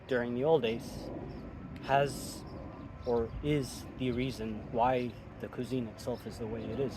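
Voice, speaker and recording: Thoughtful man from Ethiopia, traffic behind. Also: medium pitch. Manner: thoughtful, measured, with frequent ums. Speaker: chef. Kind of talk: casual interview chat outdoors. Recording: outdoor recording with clear traffic noise.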